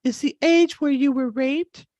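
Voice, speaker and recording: Female energy healer and host, distinctly high-pitched, casual and encouraging, close dry recording. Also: measured pace, dark tone, no echo. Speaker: host, energy healer leading a live session. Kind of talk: guided healing affirmations and casual chat. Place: live online video call.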